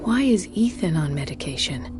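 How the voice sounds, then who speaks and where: A woman's voice: low voice, big pitch swings, normal speed, loud music behind the voice. An actress playing an inquisitive, determined woman investigator in a mystery game, thinking aloud. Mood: questioning. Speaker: player character in a mystery game.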